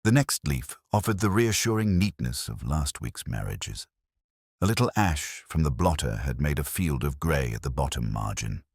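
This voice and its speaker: A man with an extremely low, slightly rough voice narrates a mystery story. His delivery is measured and dryly wry, with lively rises and falls. The sound is close and dry, with little room around it. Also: no room sound. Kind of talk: scripted audiobook-style mystery narration.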